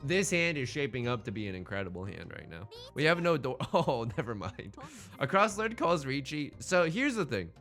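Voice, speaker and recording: A man's voice: medium pitch, sing-song, moderate pace, a bit bright, faint music behind. A man giving casual, chatty let's play commentary, thinking aloud in slangy terms as he goes.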